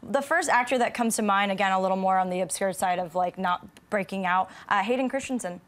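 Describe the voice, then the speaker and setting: A woman's voice: medium pitch, fairly quick, fairly bright tone. A woman talking casually and with enthusiasm about films as a talk-show panelist. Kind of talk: casual enthusiastic film discussion.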